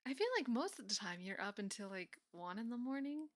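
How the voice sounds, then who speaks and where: A young woman playing a teasing, affectionate college best friend in an intimate roleplay audio, speaking softly and often slipping into whispers. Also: medium pitch, animated, brisk, dry recording, studio quality, natural voice. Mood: teasing, sleepy. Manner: soft, often dropping into whispers, sighing. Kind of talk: intimate roleplay audio acting, casual and soft.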